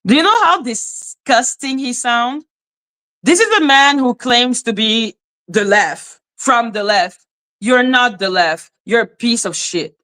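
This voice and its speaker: A woman delivers heated, cutting commentary in a fairly high voice. She alternates indignant outbursts with definitions read aloud. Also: lively intonation, slow, pushed and bright, rough recording. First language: French. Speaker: commentary channel host.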